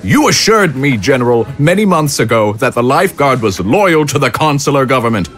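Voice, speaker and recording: A man's voice: medium pitch, very animated, brisk, fairly bright tone. Man playing a stern, imperious commander-in-chief. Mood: angry, commanding. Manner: authoritative, stern, formal. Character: imperious, impatient male consul commanding an army. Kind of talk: audio drama acting.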